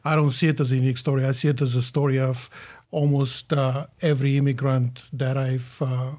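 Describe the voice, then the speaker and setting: A man in his forties from Bulgaria with a Bulgarian accent, a podcast guest telling his story in a reflective, halting way with many fillers. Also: medium pitch, level tone, a little mellow. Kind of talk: casual podcast interview.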